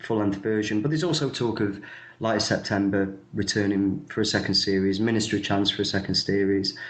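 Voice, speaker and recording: A man's voice: on the low side, fairly even intonation, fairly quick, echoey room, low-quality recording. A man in his thirties chatting casually as an interviewed actor, hesitant and self-effacing, often repeating his words. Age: 30s.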